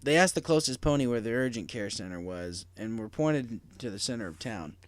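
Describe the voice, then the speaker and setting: A man's voice: mid-range voice, lively intonation, fairly quick, no room sound. A man narrating a dramatic story from a script as an audiobook reader. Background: faint music.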